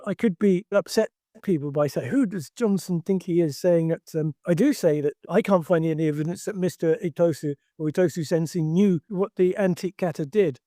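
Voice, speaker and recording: A man's voice: high-pitched, a little fast, few pauses, mellow, dry recording, studio quality. An older man who teaches karate and talks emphatically in a discussion, restarting his sentences often.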